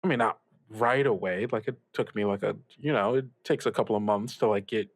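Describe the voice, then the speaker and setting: A man's voice: fairly low pitch, brisk, voice pushed down. A man playing a goofy, showy teenage boy who hosts a radio show, rambling and joking in improvised banter. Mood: reassuring. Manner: rambling, stumbling, jokey hype.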